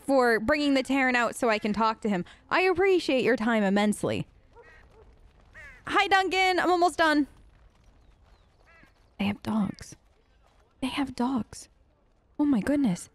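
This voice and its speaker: Animated high-voiced woman gamer. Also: a little slow, long pauses, dry recording. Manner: chatty, earnest, informal. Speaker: let's play host role-playing as an elf character. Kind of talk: casual improvised role-play game commentary.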